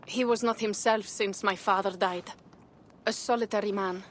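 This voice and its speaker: A woman's voice: mid-range voice, steady tone, moderate pace, a little hiss or hum, lightly acted. An actress playing a proud, grieving young Viking clanswoman in a video game, speaking with solemn earnestness. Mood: wistful, solemn. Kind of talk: dramatic video game acting.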